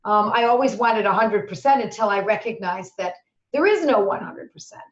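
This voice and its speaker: A middle-aged woman teaching homeopathy, answering questions in a chatty, animated way and acting out people's worries, her voice a little hoarse at times. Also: medium pitch, normal speed. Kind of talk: webinar Q&A answers. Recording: echoey, dull lo-fi webinar audio.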